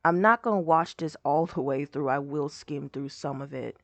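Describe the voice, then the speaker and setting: A young woman with a hoarse voice, rambling casually as she reacts to a game showcase video. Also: low-pitched, moderate pace, no echo. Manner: rambling, lots of "like" and trailing off.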